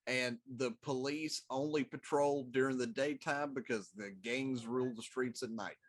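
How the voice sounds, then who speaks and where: A man's voice: medium pitch, steady tone, normal speed. A middle-aged man chatting casually as a co-host on a talk show. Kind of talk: casual chat.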